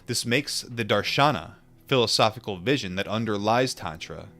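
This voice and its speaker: Male streamer, lower register, informal and musing, shifting between book reading and reflective chat, light music bed. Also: expressive, fairly bright tone. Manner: musing, informal, with pauses and repetitions. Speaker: streamer reading a book aloud and chatting with viewers. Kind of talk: book read-aloud mixed with casual reflective chat.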